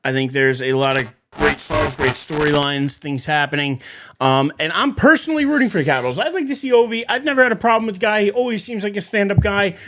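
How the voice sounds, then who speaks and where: Opinionated high-voiced man on a sports podcast. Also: quick pace, a bit bright, a little hiss or hum. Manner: opinionated, emphatic. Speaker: podcast co-host. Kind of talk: casual sports chat.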